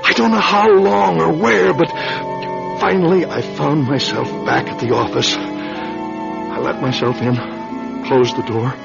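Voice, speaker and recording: Anguished husband in a radio play: creaky voice, halting, distraught confession, music underneath. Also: male voice, a little high, steady tone. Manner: halting, repeating words as if distraught. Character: anguished, desperate man confessing to his wife. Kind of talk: dramatic radio play acting. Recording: dull lo-fi radio recording, music behind.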